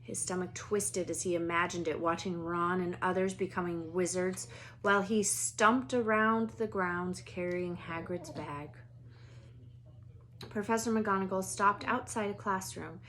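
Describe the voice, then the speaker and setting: A woman storyteller reading a children's novel aloud in an expressive narrating style. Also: on the low side, a little slow, a little hiss or hum.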